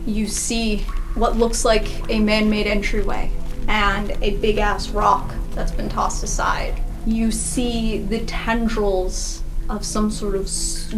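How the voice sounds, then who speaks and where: A woman's voice: medium pitch, low-quality recording. A woman working as game master, narrating an improvised tabletop role-playing game.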